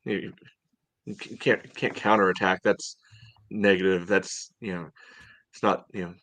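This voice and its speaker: Deep-voiced man chatting about sports. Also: steady tone, unhurried, a bit bright, poor audio. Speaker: podcast co-host. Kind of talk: casual sports discussion.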